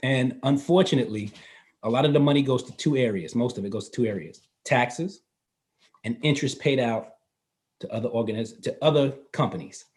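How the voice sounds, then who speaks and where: A man in his fifties, a guest in a casual interview, explaining finance in a relaxed way and laughing easily. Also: mid-range voice, fairly quick. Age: 50s. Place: North Carolina.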